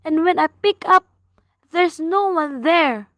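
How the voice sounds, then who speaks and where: A woman's voice: extremely high pitch, expressive, slow pace, no room sound, full character voice. A woman playing a nervous, bedridden, demanding woman in a melodramatic radio play, agitated and complaining. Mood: indignant.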